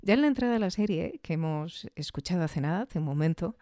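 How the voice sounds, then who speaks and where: Mellow-voiced woman podcast host. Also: on the low side, animated, dry recording, clean studio sound. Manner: thoughtful, halting phrases with rhetorical tags. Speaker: host of a podcast on audio fiction. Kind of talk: reflective spoken review.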